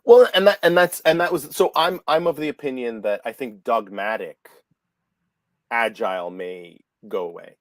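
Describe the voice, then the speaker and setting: A middle-aged man with a Canadian accent hosting a casual video call chat, animated and often restarting his words. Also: mid-range voice, normal speed, no room sound. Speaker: host. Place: office room, indoors. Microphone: video call webcam.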